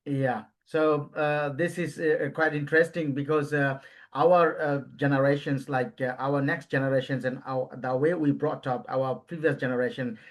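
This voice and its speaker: A man from Bangladesh, hosting a casual podcast interview and often pausing to search for words. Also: medium pitch, fairly even intonation. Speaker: podcast host.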